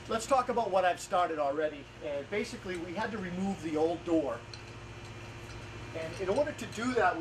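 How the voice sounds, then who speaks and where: A man in his forties giving an informal, plain-spoken how-to as a carpenter, explaining the job step by step. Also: sits high. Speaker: carpenter hosting a home improvement series. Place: outdoors in a house yard. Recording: noisy outdoor camera audio with ambient sound.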